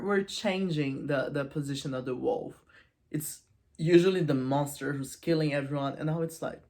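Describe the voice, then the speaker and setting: A woman casually retelling and analysing a story in an informal, animated storytelling manner. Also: low-pitched. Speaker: video essayist and book reviewer.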